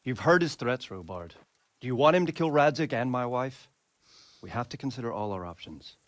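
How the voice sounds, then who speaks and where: A man's voice: mid-range voice, expressive, moderate pace, no room sound, lightly acted. A man playing a commanding, worried, middle-aged medieval nobleman in a video game cutscene, with an emphatic, authoritative delivery. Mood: urgent.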